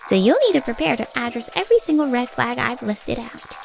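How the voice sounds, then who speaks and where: Manga dub secretary, female actor: high voice with some fry, animated, stern and businesslike, reproachful. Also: brisk, soft background music. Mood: insistent. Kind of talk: expressive manga dub voice acting.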